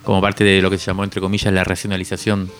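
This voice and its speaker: A man in his forties from Cuba, speaking reflectively as an interviewed author, often halting as he searches for words. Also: low-pitched, completely flat delivery, faint music behind.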